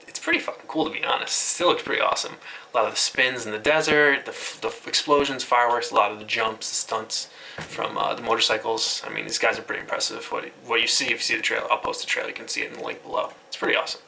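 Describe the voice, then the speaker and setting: A man's voice: medium pitch, steady tone, fast talker, creaky, bright, forceful tone, poor audio. A man hosting a casual web news recap in an informal, offhand way, with some light noise in the recording. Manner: informal, offhand, occasionally swearing. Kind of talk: casual internet news recap, loosely scripted commentary.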